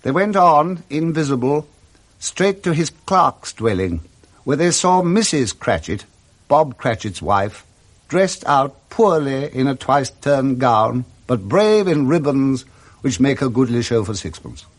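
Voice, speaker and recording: Casting: male British narrator, mid-range voice, expressive literary storytelling for a classic radio drama. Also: medium pitch, a little slow.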